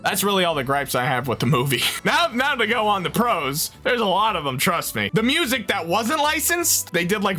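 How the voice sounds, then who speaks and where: Animated, rambling young man. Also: high-pitched. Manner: animated, rambling, self-interrupting. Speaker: movie reviewer. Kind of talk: casual rambling review.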